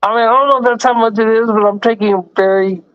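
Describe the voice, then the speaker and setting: A man who has been drinking, rambling with profane, animated riffs in a casual chat. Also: high-pitched, quick pace, smooth, no room sound. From Texas. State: tipsy from drinking. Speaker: show host. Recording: dry, slightly dull lo-fi sound.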